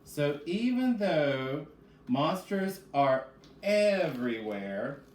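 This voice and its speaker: A man's voice: a little high, very animated, drawn-out words, darkish tone. A man who is a reading teacher, speaking to children in a read-aloud lesson. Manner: addresses children directly, asks and answers own questions. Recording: echoey room with faint background noise.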